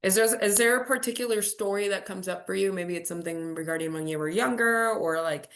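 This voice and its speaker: A woman in her forties whose first language is Spanish leads a coaching workshop for entrepreneurs. She speaks with drive in an ordinary middle register and often slows down to spell out words letter by letter. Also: mid-range voice. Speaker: workshop host.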